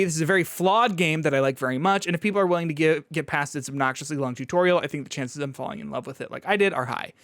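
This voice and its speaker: Higher-voiced man, clean and close. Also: fairly bright tone, dry recording. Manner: halting, filler-heavy, starts and restarts thoughts. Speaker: podcast co-host and games reviewer. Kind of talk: casual video game chat.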